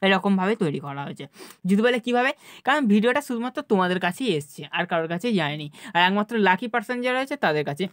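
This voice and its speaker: A woman's voice: medium pitch, animated, fast, dry recording, studio quality. A woman with a West Bengal Bengali accent co-presenting in casual chat to students, emphatic and often answering her own questions. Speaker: YouTube co-presenter.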